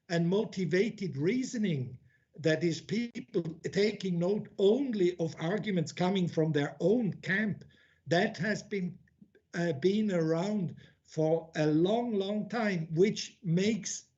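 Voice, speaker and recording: A man's voice: a little high, a little mellow, lo-fi sound. A man who grew up in Switzerland, giving a conference talk as an academic. He speaks bluntly, with many restarts and repeated words as he thinks aloud. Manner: blunt, informal asides.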